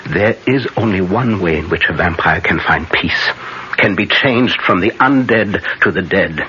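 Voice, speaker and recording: An actor playing a grave, commanding older professor who hunts vampires in a classic radio horror drama, speaking solemnly with emphatic dramatic pauses. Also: male voice, sits low, pushed and bright. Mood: solemn, urgent. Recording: dull, noisy vintage radio broadcast.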